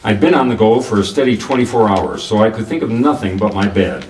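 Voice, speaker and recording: An actor playing a cool, self-assured private detective in the manner of 1940s radio drama, narrating and sparring wryly. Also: male voice, lowish voice, expressive, fairly quick, a little mellow, slight background noise, lightly acted. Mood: weary wry. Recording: roomy echo, distant from the microphone.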